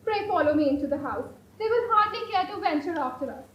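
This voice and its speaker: A woman's voice: very high-pitched, expressive, fast talker, lo-fi sound. A young woman playing a haughty, prim Victorian society lady in a stage play, speaking formally and declaiming with indignation. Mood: formal, composed. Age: young adult. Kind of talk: amateur stage play performance. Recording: echoey stage hall recording.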